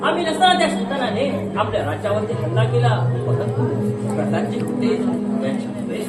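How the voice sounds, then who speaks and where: A woman's voice: fairly high pitch, very animated, a little fast. A woman playing a dutiful army commander who reports formally to a king in a folk stage drama. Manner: formal, declamatory. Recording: echoey hall, distant mic, loud crowd, music.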